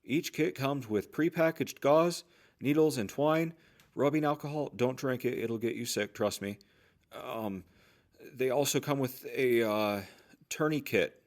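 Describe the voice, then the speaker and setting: A man's voice: mid-range voice, a little slow, close to an everyday voice. Man playing an eager wasteland shopkeeper. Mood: eager, obliging. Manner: eager salesman's patter. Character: chatty, obliging male general-store trader in a wasteland town. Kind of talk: post-apocalyptic audio drama acting.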